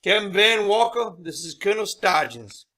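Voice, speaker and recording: A man playing a brisk, commanding senior military officer in an audio drama, with a clipped, authoritative manner. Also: high-pitched, big pitch swings, pushed and bright, full character voice. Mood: decisive.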